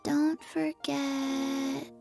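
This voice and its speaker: A little undead girl from a video game, with a high, childlike voice and extremely slow delivery. She is earnest and forgetful, halting as she explains things, over background music. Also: little pitch movement, vocal fry, warm tone, exaggerated voice.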